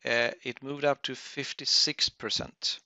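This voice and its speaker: Guy doing a webinar software walkthrough, slow n halting, dull dry audio. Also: medium pitch, flat delivery, dry recording. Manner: halting, thinking aloud, frequent throat clearing. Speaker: webinar presenter. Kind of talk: unscripted software tutorial.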